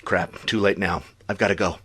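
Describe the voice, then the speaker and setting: An actor playing a tense, suspicious superhero in an audio drama, with a terse, urgent delivery. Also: male voice, sits low, steady tone, normal speed, noisy background, close to an everyday voice. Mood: tense, alarmed. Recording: echoey room sound over faint music.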